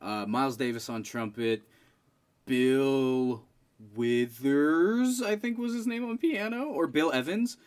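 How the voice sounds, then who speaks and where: A man's voice: medium pitch, slow. A man chatting casually as a co-host on a group podcast.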